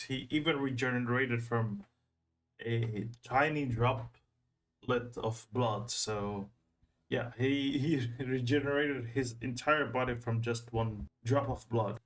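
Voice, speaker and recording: A man with a mid-pitched voice gives casual commentary on a game. He is excitable and often circles back over his phrases. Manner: excitable, repeats himself mid-thought. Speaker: reaction video host.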